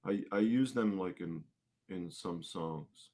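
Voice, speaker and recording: A man answering questions casually as an interview guest, often halting and rephrasing his thoughts midway. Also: low voice, dark tone. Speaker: interview guest, singer-musician.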